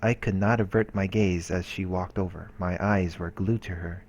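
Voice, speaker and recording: A man hosting a let's play, reading visual novel narration aloud in a measured way. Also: low-pitched, steady tone, darkish tone, a little hiss or hum. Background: game background music.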